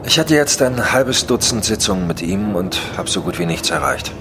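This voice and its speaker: An actor playing a driven, clinical psychotherapist in a psycho-crime audio drama. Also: male voice, on the low side, sing-song, moderate pace, creaky. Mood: clinical, frustrated. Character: driven male psychotherapist doctor, dictating case notes, probing patients. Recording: studio drama under loud music. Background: loud dramatic music.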